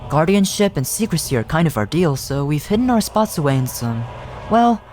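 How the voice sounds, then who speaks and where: A man's voice: fairly high pitch, very animated, brisk, a little mellow. A man voicing a playful, chatty little guardian spirit in a video game, breezy and teasing. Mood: playful, proud. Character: playful, chatty small lake-guardian spirit creature, cheeky. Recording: game music behind the voice.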